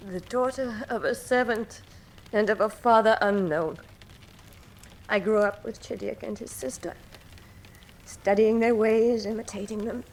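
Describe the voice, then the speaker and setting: A woman's voice: medium pitch, steady tone, unhurried, long pauses, a little mellow. A woman playing a desperate but defiant young captive in a radio drama, pleading and melodramatic. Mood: confessing, wounded. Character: desperate, defiant young servant-born adventuress held captive.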